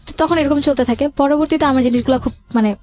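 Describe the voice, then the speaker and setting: A woman's voice: high voice, fast talker, darkish tone, soft background music. A woman with a Bangladeshi accent tells her own life story in a radio interview, quoting conversations and mixing in English words, in a voice altered to hide her identity. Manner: quotes remembered conversations, mixes in English words.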